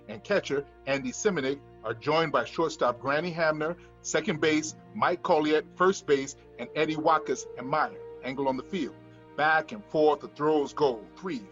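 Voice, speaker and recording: A man's voice: on the high side, fairly bright tone, dull audio. A man reading screenplay stage directions as scripted narration.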